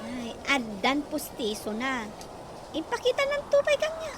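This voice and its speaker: Teasing high-voiced woman. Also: huge pitch swings, a little slow, over music. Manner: teasing, gossipy. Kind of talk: Ilocano radio comedy drama. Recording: noisy background hiss.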